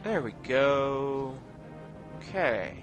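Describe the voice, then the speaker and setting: A man's voice: medium pitch, expressive, drawn-out words. A man giving casual, wryly sarcastic commentary as he plays through a video game. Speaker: video game let's player. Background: video game music and sound effects.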